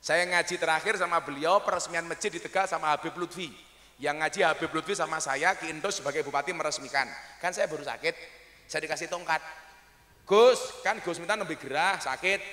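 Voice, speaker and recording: A man's voice: fairly high pitch, pushed and bright. A man preaching a comic sermon to a crowd, teasing the audience and slipping into regional dialect for punchlines, on a stage mic. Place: large hall or outdoor stage at a mosque opening. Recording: echoey hall with long reverb.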